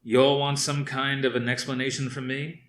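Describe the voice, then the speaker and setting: A man reading aloud, voicing a stern older fleet commander who speaks slowly and firmly to his officers. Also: medium pitch, level tone, fairly bright tone, echoey room, far from the mic, pitched above the performer's own voice, close to an everyday voice. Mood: explaining. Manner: slow, measured, commanding.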